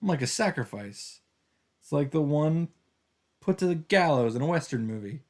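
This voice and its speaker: A man giving casual let's play commentary and reading visual novel narration aloud in a halting, rambling way. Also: on the high side, animated, darkish tone.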